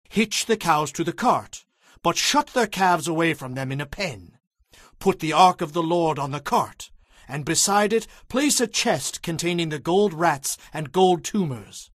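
An actor playing a solemn, commanding religious leader of ancient times in a dramatized audio Bible, earnestly exhorting. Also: male voice, on the high side, fairly bright tone, no room sound, studio quality.

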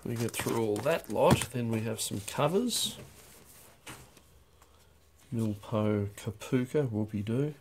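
A man talking through a stamp auction lot in a dry, unhurried way, with wry asides, recorded over a desk. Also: mid-range voice, background noise. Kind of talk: off-the-cuff lot walkthrough. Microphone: overhead camera on a desk.

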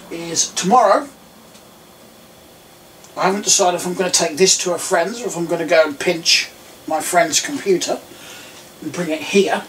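An English man in his thirties with a croaky voice, chatting casually and rambling with lots of ums while showing computer parts at home. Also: high voice, far from the mic, low-quality recording. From England. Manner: rambling, relaxed, frequent ums. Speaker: tech vlogger. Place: small home room indoors. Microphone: camera mic at a distance. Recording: faint room noise, voice a bit off-mic.

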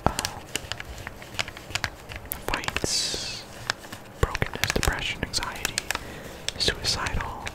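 A soft-spoken ASMR creator, sick at the moment, whispering a casual, earnest ramble full of slang and fillers. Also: very slow, pauses often, poor audio. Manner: earnest encouragement.

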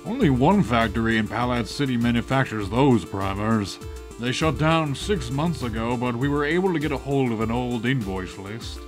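A man's voice: mid-range voice, animated, moderate pace, over music, natural voice. A man playing a stern, weary older police chief in an audio drama, matter-of-fact and authoritative. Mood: grave briefing.

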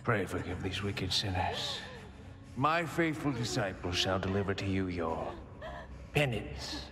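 A man's voice: sits low, huge pitch swings, unhurried, noisy background, exaggerated voice. An actor playing a grandiose older cult preacher in a video game, solemn, pious and menacing in his sermonizing. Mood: coaxing menace. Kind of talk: dramatic video game villain acting. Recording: loud music behind the voice.

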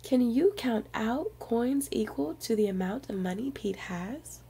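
A woman reading a picture book aloud to young children in an expressive storyteller's manner. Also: on the high side, measured pace, slight background noise.

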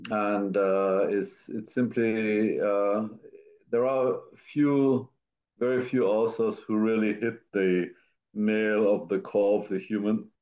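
Lowish German man, slightly dull call audio. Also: steady tone, slow pace, a little mellow. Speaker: artist, interview guest. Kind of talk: reflective conversational interview. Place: indoor art studio, video call.

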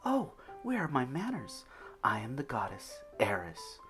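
A man voicing a gentle, soft-spoken young female goddess, hushed and kindly. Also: mid-range voice, huge pitch swings, measured pace, soft background music. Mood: gentle, consoling. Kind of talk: fan reading of a story.

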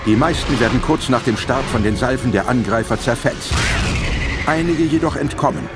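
A man's voice: mid-range voice, normal speed. A man narrating an audio drama in a dramatic scripted style. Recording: music behind the voice. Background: prominent music.

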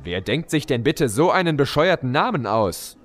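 Man playing a formal fed-up judge in a comedy audio drama, normal male pitch. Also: sing-song, moderate pace. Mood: exasperated. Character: formal pony judge, exasperated but orderly.

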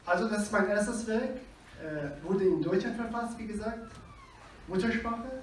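A man with a Persian accent recites his poems slowly on a small stage, pausing for effect. His voice sits a little high for a man. The sound was picked up from far back in the room, with strong echo and faint background noise. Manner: slow, measured recitation with dramatic pauses. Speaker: poet. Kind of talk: poetry reading on stage. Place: small venue with a live audience. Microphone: handheld mic on a stand, recorded from far in the room.

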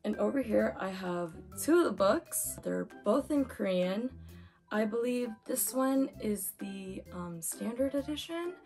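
A young woman with a hoarse voice from talking too much, chatting excitedly while unboxing manga. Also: medium pitch, unhurried, faint music behind. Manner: chatty, excitable, lots of fillers. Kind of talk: casual unboxing chat.

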